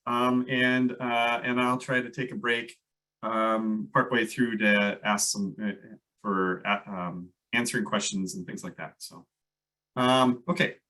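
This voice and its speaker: A man's voice: on the low side, level tone, measured pace, bright, forceful tone, recorded from a distance, rough recording. A man with a Minnesota accent giving a workshop talk over a video call, loose and hesitant with many fillers. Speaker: landscape ecologist presenter. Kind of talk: online workshop presentation.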